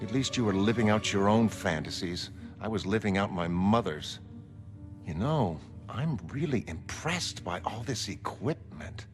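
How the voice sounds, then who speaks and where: A man's voice: fairly low pitch, expressive, measured pace, soft background music. A man voicing a frank, curious, wry woman in a motion comic, speaking directly and teasingly. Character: adult woman, frank, curious, wry former costumed heroine. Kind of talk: motion comic character acting.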